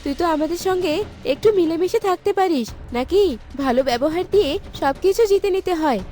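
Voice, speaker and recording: A woman's voice: very high voice, brisk, mellow. A woman voicing a mature village neighbour in a cartoon, indignant and remonstrating in an exclaiming way. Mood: reproachful. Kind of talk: cartoon voice acting. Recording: music behind the voice.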